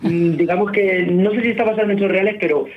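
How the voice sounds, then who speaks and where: A young man talks as a novelist guest on a muffled radio broadcast. His voice sits fairly high for a man. He is loose and self-mocking, and he often stumbles and restarts his sentences. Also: fairly even intonation, fast talker. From Spain. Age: young adult. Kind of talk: radio interview.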